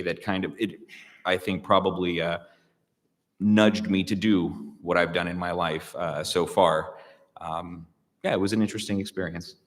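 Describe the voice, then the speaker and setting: A man's voice: sits low, a bit bright. A man from the United States, a guest speaker, talking in a reflective, hesitant way with many fillers and restarts in a public interview. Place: public hall. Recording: long reverb of a large room.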